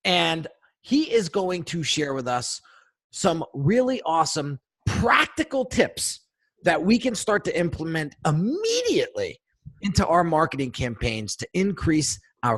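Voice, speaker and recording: Man, podcast host, enthusiastic, repetitive, lively pitch, somewhat high voice. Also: slightly rough, dry recording. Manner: enthusiastic, repetitive, swears casually. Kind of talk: casual podcast interview.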